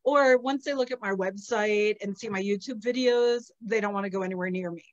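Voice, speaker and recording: A middle-aged woman on a video call from an outdoor terrace, a little sniffly, chatting casually and telling animated stories with frequent laughter. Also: mid-range voice, brisk, dull audio. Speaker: psychic channel host. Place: outdoor terrace with potted plants. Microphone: laptop webcam on a video call.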